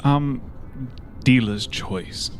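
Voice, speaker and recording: A man's voice: mid-range voice, extremely slow. A man playing an earnest, polite shadowrunner in a tabletop game, speaking in a formal, slightly hesitant and fussy way. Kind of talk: improvised tabletop roleplay.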